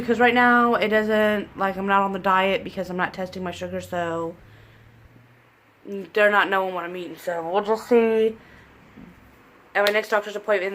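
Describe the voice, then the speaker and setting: A woman's voice: mid-range voice, a bit bright. Young congested woman, rambling and self-deprecating, talking to a home camera over faint background hiss. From southern Indiana, US. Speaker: pregnancy vlogger. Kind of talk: casual vlog chat. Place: living room at home, indoors. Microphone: camera in front at desk distance.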